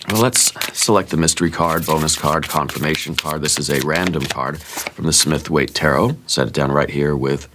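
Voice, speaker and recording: Soft-spoken deep-voiced man, congested. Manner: musing, gently reassuring, thinks aloud with fillers. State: congested, sore throat. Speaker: tarot reader. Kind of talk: soft-spoken ASMR tarot reading.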